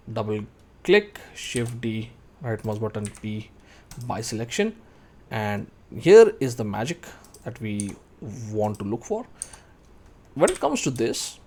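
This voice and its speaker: A man in his thirties narrating a step-by-step software tutorial, explaining each action as he does it. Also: medium pitch. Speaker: tutorial instructor.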